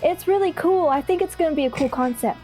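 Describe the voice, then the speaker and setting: A woman's voice: sits high, fast talker, a little mellow, soft background music. A woman playing a tabletop game, eagerly rambling through playful gear ideas. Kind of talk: in-character casual banter.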